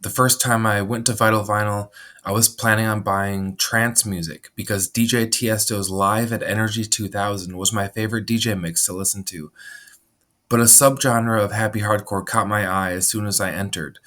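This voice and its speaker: Lowish-voiced man reading his memoir. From Minnesota, USA. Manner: measured, steady reading with frequent throat clearing. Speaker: memoir narrator. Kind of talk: reading a personal memoir aloud.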